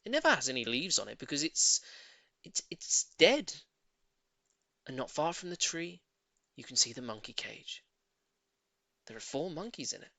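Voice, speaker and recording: Male audiobook narrator for children's fiction: emphatic, lively, forceful tone, very dry recording. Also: a little high, normal speed, vocal fry, long pauses, no echo. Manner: theatrical, emphatic storytelling. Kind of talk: expressive scripted narration of a children's story.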